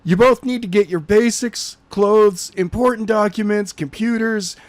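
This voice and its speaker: A man's voice: high voice, measured pace, no room sound, voice pushed up. A man reading the lines of an alert, protective young man in a raised, urgent voice.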